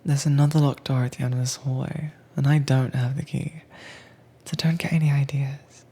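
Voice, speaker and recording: A woman playing a calm, teasingly dominant werewolf tamer in a whispered, soft-spoken roleplay audio, with a breathy voice and a coaxing, commanding manner. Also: extremely low pitch, moderate pace, heavily acted. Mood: gently commanding.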